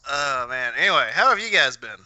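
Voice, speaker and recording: A man's voice: fairly high pitch, big pitch swings, a little fast, sharp tone, dry recording. A man co-hosting a podcast in casual chat while sick with a cough. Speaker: podcast co-host.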